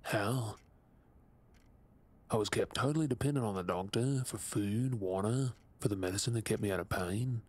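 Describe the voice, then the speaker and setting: An Australian man acting a tormented, guilt-ridden captive mutant in an audio drama, speaking in a husky, breathy, subdued and halting way. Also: lowish voice, darkish tone, natural voice. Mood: weary, haunted.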